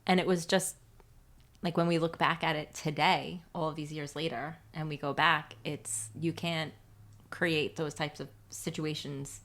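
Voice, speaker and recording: A woman in her forties hosting a podcast, speaking in a soft, reflective way with many pauses. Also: low-pitched, little pitch movement. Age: 40s. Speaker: podcast host. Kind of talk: casual faith-based chat.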